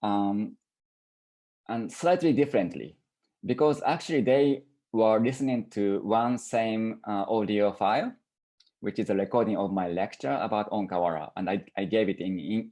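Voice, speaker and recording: Low-voiced man, halting artist talk. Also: warm tone. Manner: halting, searching for words. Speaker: artist presenting own work.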